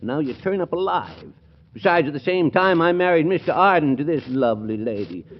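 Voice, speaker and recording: A blustering courtroom judge in a 1940s radio comedy. His fairly high voice swoops between exasperated sarcasm and syrupy flattery. The recording is a dull old broadcast with some noise. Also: a little fast. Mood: exasperated, indignant. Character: blustering, exasperated male judge, sweet on pretty women.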